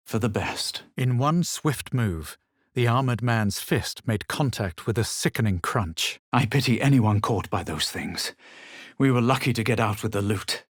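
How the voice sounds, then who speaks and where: A self-assured young male adventurer from a fantasy role-playing game. The voice is husky and recorded very dry, with a dramatic, superior manner that suits both literary narration and sharp exclamations. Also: fairly low pitch, no echo, full character voice. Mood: measured, weary.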